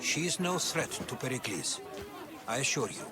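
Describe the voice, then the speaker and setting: An earnest older historian, acted by a man for a video game. His voice is medium in pitch and eager. A crowd and outdoor noise sit behind him. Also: very animated, moderate pace, close to an everyday voice. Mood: urgent. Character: inquisitive, earnest older male Greek historian and companion.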